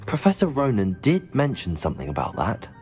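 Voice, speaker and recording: A man's voice: on the low side, wildly swooping pitch, fairly quick, a little mellow, soft background music. A man playing a polite, eager young wizard student in a video game, earnest and courteous. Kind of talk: scripted video game acting.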